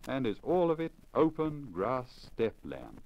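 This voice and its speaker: A man's voice: medium pitch, huge pitch swings, a little slow, a little mellow, voice pushed up. A man voicing a boastful, persuasive travelling merchant in a storybook, speaking formally and emphatically on a crackly old disc recording. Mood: emphatic, persuasive. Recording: crackly, dull 1950 lacquer disc recording.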